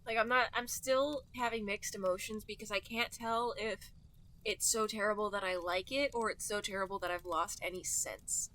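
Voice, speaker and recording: A woman's voice: medium pitch, steady tone, normal speed, sharp tone. A woman co-hosting a podcast in casual, chatty conversation. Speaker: podcast co-host. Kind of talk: casual chat.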